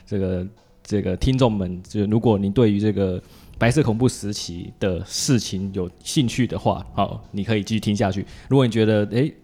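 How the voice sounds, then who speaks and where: A man speaks Mandarin with a Taiwanese accent in a fairly low voice. He hosts a casual chat, joking along and often doubling up his short responses. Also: moderate pace, warm tone. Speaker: podcast host.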